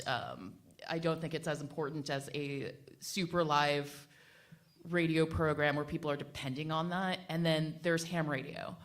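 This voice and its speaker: A woman's voice: sits low, a bit bright. A woman talking casually as a radio panelist, rambling and correcting herself with offhand asides. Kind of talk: spontaneous, casual panel talk. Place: live panel discussion at a venue.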